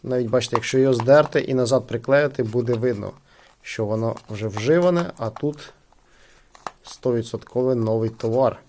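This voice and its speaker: A man's voice: medium pitch, normal speed, darkish tone, a little hiss or hum. A man giving casual, conversational unboxing commentary as a host, hesitating and leaning on filler phrases. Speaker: product unboxing host and reviewer.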